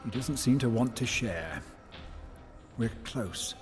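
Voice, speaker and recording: Plain-spoken hunter ally, game drama. Shrewd and wry, animated intonation, medium register. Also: male voice, medium pitch, slow, faint music behind. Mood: businesslike. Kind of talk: video game voice acting.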